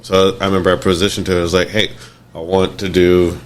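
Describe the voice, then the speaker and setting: Low, raspy man rambling over noise. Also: flat delivery. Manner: rambling, halting, repeats words. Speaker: podcast guest. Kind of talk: casual chat. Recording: clear background noise, room ambience.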